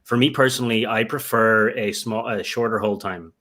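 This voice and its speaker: A young man with an Irish accent talks through his answers in a lowish voice. He rambles and circles back, filling gaps with "you know" and "um" as he restarts his sentences. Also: fairly even intonation, normal speed, bright, forceful tone. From Ireland. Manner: rambling, many fillers and restarts. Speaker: guest, Lego investor. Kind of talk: remote interview answers.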